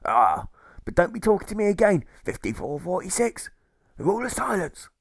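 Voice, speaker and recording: A man's voice: sits high, sing-song, moderate pace, gravelly, no room sound, clean studio sound, pitched above the performer's own voice, heavily acted. A man voicing a hearty, piratical male jailer with a folksy, commanding manner in an audiobook. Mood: hearty, bossy. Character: one-legged male jailer, hearty piratical gruff old salt.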